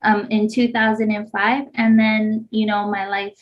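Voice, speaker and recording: A woman's voice: mid-range voice, little pitch movement, unhurried. A woman in her thirties who grew up in Hawaii, co-hosting a podcast and telling her story in a casual, rambling way with lots of "like". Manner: rambling, heavy use of "like". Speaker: podcast co-host. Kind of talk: casual chat, personal story. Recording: slightly dull lo-fi sound.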